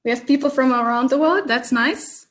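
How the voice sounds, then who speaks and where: A woman's voice: high voice, normal speed. A woman from Germany, leading an online workshop session over a video call in a chatty, upbeat way with many ums. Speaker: workshop facilitator.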